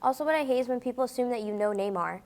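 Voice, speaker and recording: High-pitched opinionated young girl from Brazil. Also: very fast, a little mellow. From Rio de Janeiro, Brazil. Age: child or young teen. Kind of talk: casual chat.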